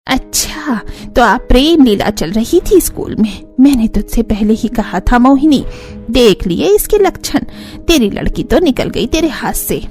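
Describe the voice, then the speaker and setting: A woman playing a sharp-tongued, scornful old grandmother in a family cartoon, nagging and taunting. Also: a little high, big pitch swings, a little slow, soft background music. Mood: scornful, accusing. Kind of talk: Hindi cartoon family drama acting.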